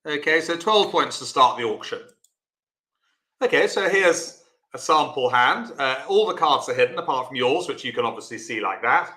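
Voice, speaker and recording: A man teaching an online bridge lesson, chatty and encouraging, explaining step by step in a loud voice. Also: mid-range voice, lively intonation, normal speed, reverberant.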